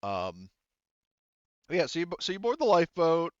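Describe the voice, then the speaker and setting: A man's voice: fairly high pitch, wildly swooping pitch, moderate pace, smooth, no echo, dull audio. A man acting as game master, casually narrating a tabletop game in an informal, joking way with some swearing.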